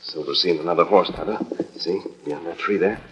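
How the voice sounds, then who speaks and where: A man's voice: low voice, fairly quick, highs cut off, heavy background noise, lightly acted. A man acting a resolute, kindly masked western hero in a 1940s radio drama. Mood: urgent, serious. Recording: old 1940s radio transcription disc.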